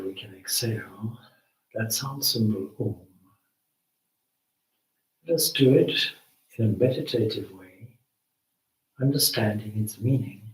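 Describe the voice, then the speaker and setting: A man guides a meditation in a fairly low voice. He speaks very slowly and deliberately, leaving long pauses between short phrases. The sound is echoey, picked up at some distance from the microphone. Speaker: spiritual teacher leading meditation. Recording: roomy echo, distant microphone.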